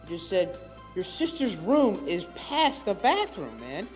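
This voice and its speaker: A man's voice: high voice, huge pitch swings, unhurried, faint music behind. A teenage boy, a podcast co-host, rambling through a long story with lots of "I was just like" asides. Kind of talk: casual chat, telling a long story.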